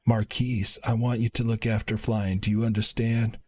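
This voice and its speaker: Man reading as a husky, kindly fatherly caretaker. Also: lowish voice, flat delivery, mellow, natural voice. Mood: grave, kindly. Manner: formal, old-fashioned wording, halting reading. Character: kindly, formal fatherly caretaker in a fantasy game.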